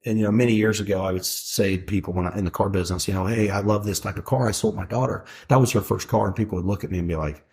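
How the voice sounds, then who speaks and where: Rambling low-voiced man in his forties. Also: racing, creaky, few pauses, darkish tone. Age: 40s. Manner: rambling, many fillers and restarts. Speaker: guest, food truck owner. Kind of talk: casual interview talk.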